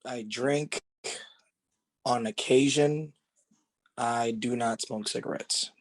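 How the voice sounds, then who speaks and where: A man's voice: mid-range voice, steady tone, slow. A man of thirty giving short, clipped interview answers. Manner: terse, clipped.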